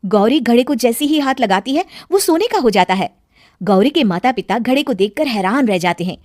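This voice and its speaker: A woman's voice: fairly high pitch, expressive, moderate pace, a little mellow, dry recording, studio quality. A woman giving scripted narration for a children's moral cartoon story.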